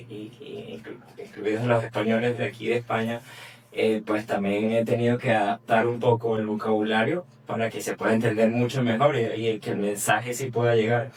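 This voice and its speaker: A man in his thirties with a Venezuelan accent, a guest talking thoughtfully in an interview, often repeating words as he searches for them. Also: lowish voice. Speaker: guest, journalist and content creator. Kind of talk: conversational interview. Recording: distant mic with faint background noise.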